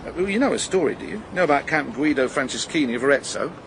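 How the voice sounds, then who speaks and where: An actor playing a cynical, jealous married gossip, sardonic and insinuating, in a radio drama. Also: male voice, a little high, lively intonation, quick pace, background noise. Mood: knowing, insinuating. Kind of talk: naturalistic radio drama acting.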